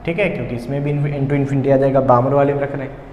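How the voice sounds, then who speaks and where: A man's voice: mid-range voice, little pitch movement, quick pace, lo-fi sound. A man with an Indian accent teaching physics step by step, asking questions and answering them himself, with English technical terms mixed in. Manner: mixes English technical terms into his talk. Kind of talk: step-by-step problem-solving lesson. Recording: echoey reverberant room, faint background noise.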